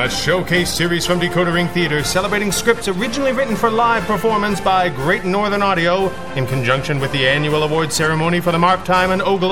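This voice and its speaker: A man's voice: sits high, poor audio. A man announcer giving a theatrical, scripted introduction to a showcase series. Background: music.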